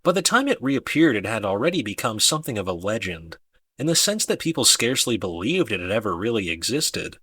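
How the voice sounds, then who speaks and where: A man reading an audiobook as dramatic scripted narration, with deliberate emphasis on key words. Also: on the low side, big pitch swings, bright, forceful tone, breathy edge.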